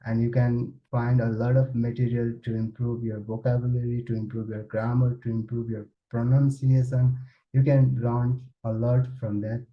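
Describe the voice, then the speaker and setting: Nepali man, plain advice in repetitive phrasing, roomy and muffled audio. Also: mid-range voice. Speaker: speaker sharing his English learning story.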